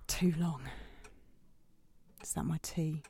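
Actress as a wry, frazzled mum, lowish voice. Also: female voice, fairly even intonation, slow, creaky, mellow, heavily acted. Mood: weary, wry. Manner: dry sarcasm, conspiratorial. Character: desperate, wry mum at the end of her tether. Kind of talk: audio drama acting.

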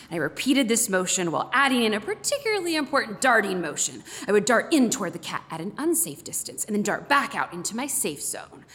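A woman's voice: mid-range voice, a bit bright. A woman reading a story aloud expressively, with dramatic emphasis, as a guest reader. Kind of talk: expressive read-aloud storytelling in first person as an animal. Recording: long hall reverb.

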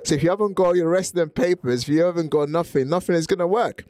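A man from Nigeria with a Nigerian accent, English not his first language, hosting an interview in a relaxed way and often repeating words. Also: fairly high pitch, quick pace. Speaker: host. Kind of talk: conversational interview.

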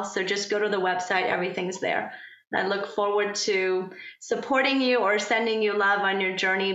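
Woman, native Farsi speaker, podcast guest, gentle, thoughtful, echoey room. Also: mid-range voice, highs cut off. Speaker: podcast guest, parent coach. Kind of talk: casual interview chat.

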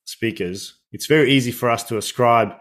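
A man's voice: mid-range voice, normal speed, a bit bright. A man hosting an interview podcast who reflects on and builds on his guest's points. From Australia. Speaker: podcast host. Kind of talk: conversational interview.

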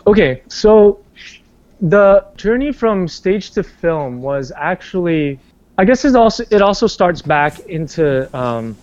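A man, a working actor, talks through his career in a loose, meandering way, full of "like" and false starts. His voice sits a touch higher than most men's. The sound is muffled and lo-fi. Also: clear, resonant tone. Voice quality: bass singing voice. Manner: rambling, filler-heavy, many restarts. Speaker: stage and screen actor, interview guest. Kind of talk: casual interview talk. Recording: dull lo-fi sound.

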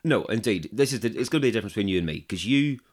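A man in his forties with a very low voice that still swings widely in pitch. He co-hosts a podcast in a casual, bantering way and keeps the talk going with questions. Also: racing. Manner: animated, banters and prompts with questions. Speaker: podcast co-host. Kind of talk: casual chat.

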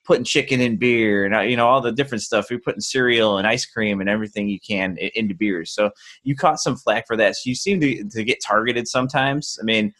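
A man's voice: lowish voice, a little fast, a bit bright. A man hosting a casual interview over a video call, joking loosely and restarting his sentences often. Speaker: podcast host.

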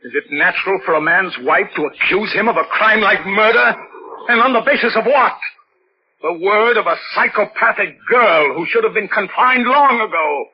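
An actor playing a domineering, rattled, menacing husband who interrogates insistently, in 1940s radio mystery style on an old recording. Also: male voice, high-pitched, moderate pace, muffled recording, a little hiss or hum, close to an everyday voice. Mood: agitated, accusing.

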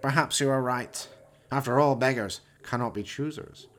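A man's voice: mid-range voice, normal speed, a bit bright, voice pushed up, natural voice. A man voicing an evil mad scientist in a radio play, pompous and scheming, with formal theatrical phrasing. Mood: imperious.

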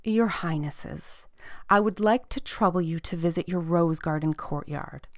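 A woman voicing a weary, dramatic old woman in a fairy tale, with drawn-out, earnest delivery. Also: on the low side, no echo, studio quality, lightly acted. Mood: resolute. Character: weary old woman, self-proclaimed cursed princess, dramatic.

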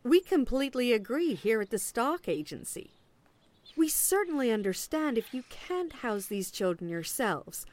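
A woman's voice: fairly high pitch, big pitch swings, normal speed, a little mellow. A woman acting in an audio play as an officious agent, politely relentless and salesy, with veiled sarcasm. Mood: briskly officious.

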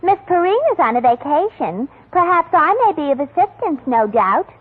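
A woman's voice: sits high, expressive, normal speed. An actress playing a flustered, ditzy young female secretary who garbles her words, in a 1940s radio drama. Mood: prim. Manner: garbled words, fluttery and gushing. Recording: tinny old radio broadcast.

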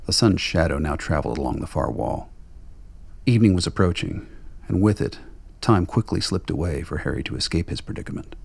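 A man narrating a radio play with a gravelly, husky voice and measured, dramatic storytelling delivery. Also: low-pitched, expressive, no room sound.

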